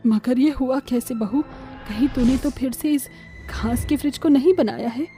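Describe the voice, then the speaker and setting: Woman playing a mellow, kindly older mother-in-law. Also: high-pitched, moderate pace, soft background music, natural voice. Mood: curious.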